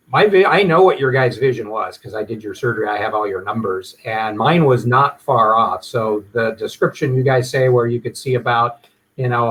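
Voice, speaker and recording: A man's voice: medium pitch, poor audio. A middle-aged man from Hawaii, an eye surgeon chatting as a radio guest in a friendly way that drifts into promotion. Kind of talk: casual radio chat.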